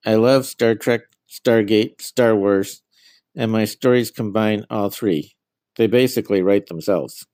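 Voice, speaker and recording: Middle aged guy author answering interview questions, slightly low voice, no room echo at all. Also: unhurried, a little mellow.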